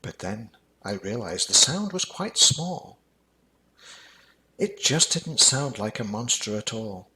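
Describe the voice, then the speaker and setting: An older man narrating a rhyming children's story as a storyteller, in an expressive, dramatic manner. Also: lowish voice, low-quality recording.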